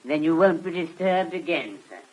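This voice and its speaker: High-voiced deferential waiter. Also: male voice, lively intonation, normal speed, poor audio. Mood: obsequious. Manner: polite, servile. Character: deferential, attentive restaurant waiter. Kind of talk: 1950s radio drama acting.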